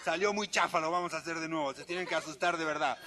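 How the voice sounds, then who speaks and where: A man's voice: fairly high pitch, fast, noisy background. A man doing interactive musical storytelling for children in a theatrical, coaxing, exclamatory way. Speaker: children's entertainer, singer-storyteller. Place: live outdoor show for children. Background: acoustic guitar.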